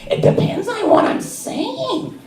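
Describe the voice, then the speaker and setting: A man voicing a middle-aged cartoon housewife in a high, strained, gravelly voice. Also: lively intonation, takes it slowly, darkish tone, recorded from a distance, heavily acted. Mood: explaining. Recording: roomy live stage mic from afar.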